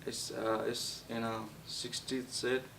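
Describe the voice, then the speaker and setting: A young man from Nepal, a guest actor, answering interview questions in a halting way with many fillers and restarts. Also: little pitch movement, fairly bright tone. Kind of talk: casual interview. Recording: distant mic with faint rumble.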